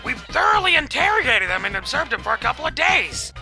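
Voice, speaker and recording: A brash young cartoon detective, high and creaky in voice. He talks in a breezy, frank, casual way over faint background music. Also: sing-song, quick pace, sharp tone, heavily acted. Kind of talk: comic dub character acting. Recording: music behind the voice.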